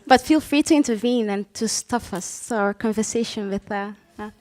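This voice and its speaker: A woman's voice: on the high side, moderate pace, darkish tone. A woman moderating a live panel, asking questions and restating the answers to check that she understood them. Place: stage talk before an audience, no microphones.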